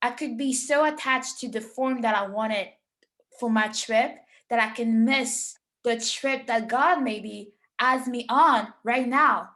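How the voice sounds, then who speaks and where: Guest: earnest woman, a touch high, searching her words aloud. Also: takes it slowly, fairly bright tone. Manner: earnest, searching, repeats words while thinking. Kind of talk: reflective conversational talk.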